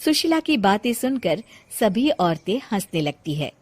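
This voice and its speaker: A woman narrating a scripted cartoon moral story in an expressive storyteller's style. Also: mid-range voice, a little slow, over loud music.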